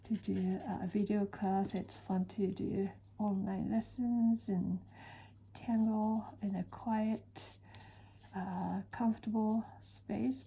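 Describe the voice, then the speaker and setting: A woman from western Japan with a Japanese accent, chatting in an unhurried, soft-spoken way with frequent pauses while she draws. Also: mid-range voice, creaky, slight background noise, low-quality recording. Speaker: art instructor. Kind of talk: relaxed chat while drawing.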